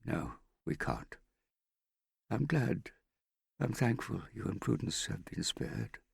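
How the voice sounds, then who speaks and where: A guilt-stricken older father mumbles his remorse, low and lost, as a male reader voices him. The voice is husky and gravelly, recorded close and dry. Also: measured pace, darkish tone, no echo, exaggerated voice. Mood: mumbling.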